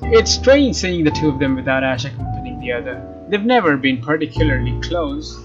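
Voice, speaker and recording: A man's voice: mid-range voice, big pitch swings, moderate pace. A man hosting a let's play, reading game narration aloud in a casual way and sometimes rereading phrases. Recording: slightly dull mic, game music behind. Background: game music with guitar.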